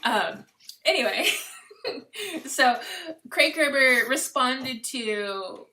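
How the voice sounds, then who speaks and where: A woman's voice: sits high, animated, extremely slow, sharp tone. A woman hosting a casual fan podcast, chatty and upbeat, laughing often. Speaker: podcast host.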